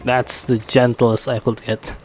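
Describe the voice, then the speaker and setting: A man's voice: medium pitch, level tone, measured pace, noisy background. A man streaming a game with casual, joking commentary in short, broken reactions. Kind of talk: casual live gameplay commentary. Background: game music.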